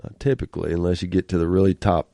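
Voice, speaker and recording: A man's voice: low-pitched, steady tone, fast, vocal fry, mellow, dry recording, studio quality. Stuffy-nosed folksy male podcast host. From rural small-town America. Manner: folksy, talkative, laughs often. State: stuffy nose. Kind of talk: casual interview chat. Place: podcast studio.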